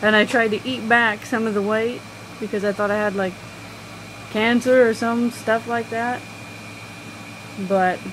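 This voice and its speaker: A woman with a quiet voice talking casually about astrology, rambling and halting with many fillers. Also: mid-range voice, noisy background. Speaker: astrology vlogger. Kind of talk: casual personal talk.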